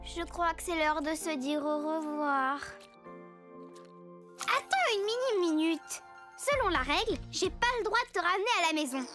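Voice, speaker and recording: Excitable, very high-pitched little girl. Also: moderate pace. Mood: eager, scheming. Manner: gushing, cutesy, dramatic. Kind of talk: children's cartoon dub acting. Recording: music behind the voice.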